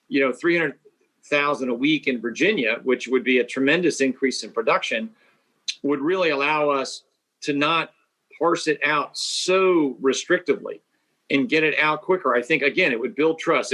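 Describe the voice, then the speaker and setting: A man speaking as a state public health official on an expert panel, laying out policy in a fast, deliberate way with frequent restarts. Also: a little high, pushed and bright, low-quality recording.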